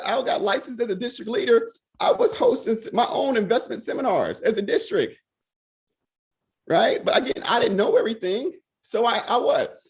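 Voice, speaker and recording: A man leading a financial sales team on a video call, hoarse from being sick, talking fast and repeating "right?" over and over. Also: very high voice. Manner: fast, repetitive, peppered with "right?". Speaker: financial services team leader. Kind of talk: motivational talk on a video call.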